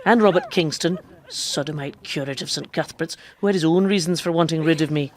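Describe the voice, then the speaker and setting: A woman's voice: deep voice, slight background noise, lightly acted. An actress playing a defiant, cheeky woman quack doctor who lives as a man, with wry, knowing delivery in a radio drama. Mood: wry, confiding. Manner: wry, knowing storytelling, quick retorts. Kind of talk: radio drama acting and first-person narration.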